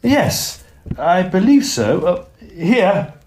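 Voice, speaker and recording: A man's voice: high voice, sing-song, extremely slow, darkish tone, a little hiss or hum, full character voice. A man playing a genial, studious young Oxford student in a Victorian audio drama, with a British accent and polite, clipped period speech. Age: young adult.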